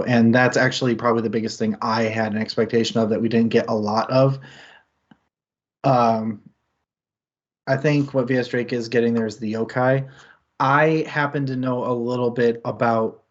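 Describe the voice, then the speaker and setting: A man talking through a book in a relaxed club discussion, stopping and restarting his thoughts and chuckling now and then. His voice sits at a middling pitch. The stream audio is a little dull and lo-fi. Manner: rambling, lots of fillers and restarts, chuckles often. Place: home setup, streamed discussion. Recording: slightly muffled lo-fi stream audio.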